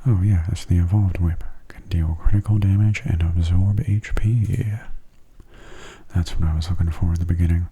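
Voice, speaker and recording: Man, ASMR gaming commentary, dark mellow tone, fry-laden, soft breathy murmur, unhurried. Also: sits low, fairly even intonation. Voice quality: soft, breathy, often near whisper. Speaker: gaming channel host.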